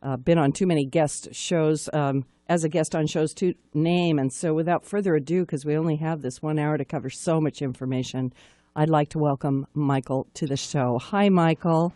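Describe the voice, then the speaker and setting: A woman's voice: low voice, normal speed, warm tone, dry recording, clean studio sound. A woman hosting a live radio talk show in a chatty, enthusiastic way.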